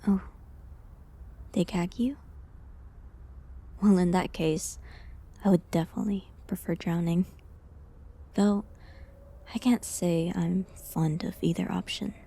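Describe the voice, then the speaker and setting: A woman playing a falsely accused village healer in a medieval audio drama, sad and resigned, speaking softly and hesitantly with pauses. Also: lowish voice, fairly even intonation, exaggerated voice. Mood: wry, resigned. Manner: soft, hesitant, breathy delivery with pauses. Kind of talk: dramatic audio roleplay monologue, medieval setting.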